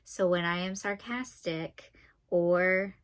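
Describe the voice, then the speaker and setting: A woman in her twenties with a nasal, monotone voice, chatting fast and dryly as a vlogger counting off facts about herself. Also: fairly low pitch. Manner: talks fast and a lot, dry and sarcastic. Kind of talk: casual talking-to-camera list video.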